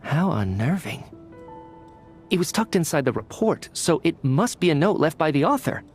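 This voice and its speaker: Young scholarly investigator, fairly high voice, earnest, articulate, deliberate, game cutscene, soft music behind. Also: male voice, big pitch swings. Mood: unnerved, puzzled. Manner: deliberate, articulate, thinking aloud. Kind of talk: anime-style video game cutscene acting.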